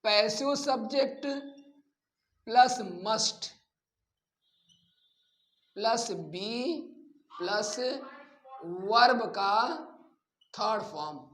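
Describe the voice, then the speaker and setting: An Indian man with a strikingly high voice lectures as a grammar teacher. He goes at a very unhurried pace, restating key phrases in a deliberate, didactic way. The sound is roomy and echoing. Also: expressive, fairly bright tone. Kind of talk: classroom lecture explaining rules bilingually.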